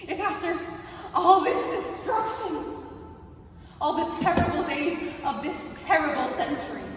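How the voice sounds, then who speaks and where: A woman performing a dramatic monologue as an anguished, defiant, gravely ill thirty-year-old, with impassioned outcries and hushed pleading in a live staged reading. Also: sits high. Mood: furious, pleading. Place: large reverberant museum space, live, distant mic. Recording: distant, noisy, very echoey museum hall.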